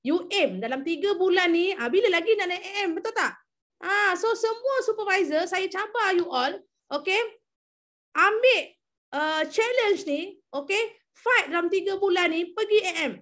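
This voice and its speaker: A high-pitched young Malaysian woman briefs her sales team over a video call. She repeats the key figures and keeps checking that everyone follows. Her tone is coaxing and encouraging. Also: lively intonation, moderate pace, fairly bright tone. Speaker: MLM team leader presenting an incentive program.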